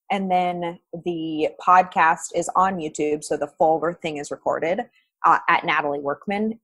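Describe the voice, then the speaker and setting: A woman's voice: low voice, a bit bright, husky, no room sound. A young adult woman, a blogger guesting on a podcast, talking in an upbeat, confident way in full sentences. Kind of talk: casual interview chat.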